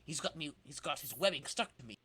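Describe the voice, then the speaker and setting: A man's voice: fairly high pitch, expressive, fast talker, bright, forceful tone, slightly rough, no echo, full character voice. A man voicing a cocky, boastful skateboarding villain in a theatrical comic book dramatic reading, taunting his foe. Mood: alarmed. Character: cocky villainous male rocket-powered skateboarder.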